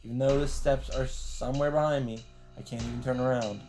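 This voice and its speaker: A man's voice: mid-range voice, steady tone, a little fast, darkish tone. A man doing a dramatic read-aloud as host of a visual novel playthrough. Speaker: let's play host reading aloud. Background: faint game music.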